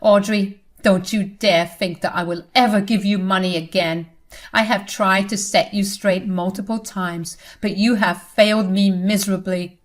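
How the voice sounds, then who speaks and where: A woman voicing an angry older mother who loudly scolds her grown daughter. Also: medium pitch, fairly even intonation, measured pace, lightly acted. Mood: stern. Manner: loud, scolding.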